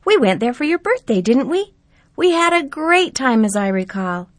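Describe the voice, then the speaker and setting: Woman reading as a gentle old grandpa, slow and musing, bit forgetful, dry sound. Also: sits high, sing-song, no room sound, voice pushed up.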